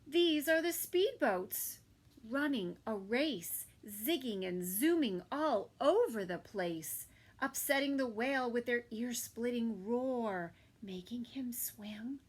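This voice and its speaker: A woman reading a picture book aloud for toddlers at story time, dramatic and with hushed little asides. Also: on the high side, animated, slow pace, slightly rough. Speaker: children's story time reader.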